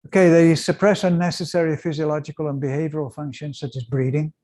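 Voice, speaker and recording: A man giving an academic seminar lecture in halting phrases, his voice slightly croaky. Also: on the high side, level tone, a little mellow.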